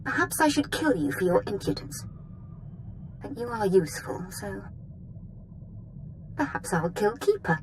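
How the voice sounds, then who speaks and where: A young dark-side noblewoman in a video game, proud and threatening. Her voice sits at a middle pitch and commands with theatrical disdain. Faint noise runs behind it. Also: big pitch swings, unhurried, long pauses. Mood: cold, ambitious. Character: imperious, menacing young female dark lord, daughter of a dead master. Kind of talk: scripted video game acting.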